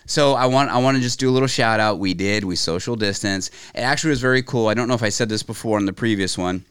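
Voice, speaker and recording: A man's voice: on the low side, quick pace, fairly bright tone, smooth, no room sound, clean studio sound. A man co-hosting a podcast, chatting casually and telling everyday anecdotes. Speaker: podcast co-host.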